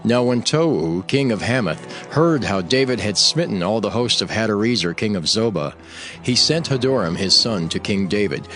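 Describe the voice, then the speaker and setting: Low male voice reading audio bible, formal and measured, soft music underneath. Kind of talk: scripted narration, scripture reading. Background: background music.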